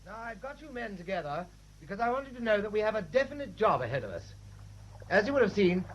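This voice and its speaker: A man acting in a radio drama as a keen, respectful British first lieutenant, with a husky voice and crisp, earnest manner. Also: high-pitched, exaggerated voice. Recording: muffled old radio broadcast.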